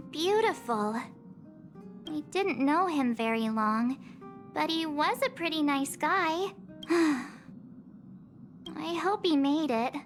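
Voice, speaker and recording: Perky, naive teen girl adventurer, extremely high pitch, unhurried, expressive game dialogue. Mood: wistful. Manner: expressive, chatty. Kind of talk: video game character dialogue. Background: game music.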